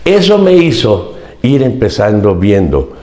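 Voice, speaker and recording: An older Mexican man with a low voice speaks as a podcast guest. He talks earnestly and emphatically, often circling back to repeat his main points. The recording is roomy, with a noticeable echo. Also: sing-song, measured pace, mellow. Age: 70s. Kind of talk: reflective interview storytelling.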